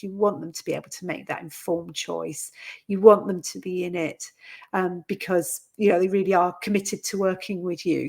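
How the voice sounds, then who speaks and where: A woman giving an informal instructional talk as a coach, explaining conversationally with soft qualifiers and example phrases. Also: on the low side, creaky, breathy edge. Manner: conversational, explanatory, hedging with "sort of" and "you know". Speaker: coach and trainer for therapists.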